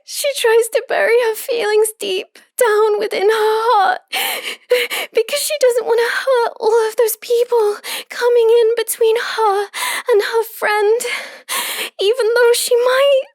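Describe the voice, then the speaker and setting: A woman playing an obsessive, possessive young yandere in an intimate roleplay audio monologue, telling her story with deliberate emotional intensity. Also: very high-pitched, fairly even intonation, no echo, studio quality. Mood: anguished, desperate.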